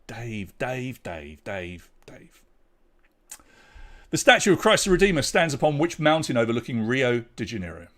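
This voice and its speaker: A man's voice: mid-range voice, big pitch swings, normal speed, fairly bright tone. An English man running an online pub quiz with a bouncy, sing-song delivery that swoops up and down. His voice sits in an ordinary middle register. He chats and jokes with the players between questions. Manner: chatty, jokey banter with players between questions. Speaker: quiz host.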